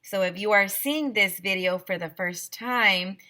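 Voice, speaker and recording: A woman teacher reading a children's book aloud in an expressive, emphatic storytelling manner. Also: medium pitch, a little slow.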